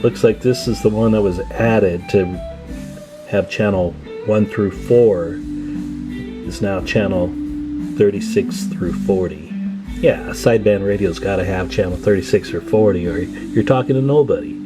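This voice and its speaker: A man's voice: on the low side, measured pace, loud music behind the voice, low-quality recording. A man giving a casual, hands-on walkthrough as a hobbyist, thinking aloud in a folksy, musing way. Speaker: hobbyist presenter.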